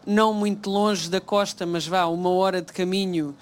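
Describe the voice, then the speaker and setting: A man with a European Portuguese accent, from the Baixo Alentejo, moderating a panel discussion at an event, talking quickly and filling pauses with "ah". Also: high voice, fairly even intonation, clean tone. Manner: quick, thinks aloud with many "ah" fillers.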